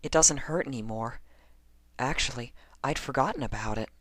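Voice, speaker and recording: A man's voice: medium pitch, moderate pace, a bit bright, no echo, voice pushed up, lightly acted. A man voicing an anxious female pony in a horror story, nervously reassuring herself. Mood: unbothered.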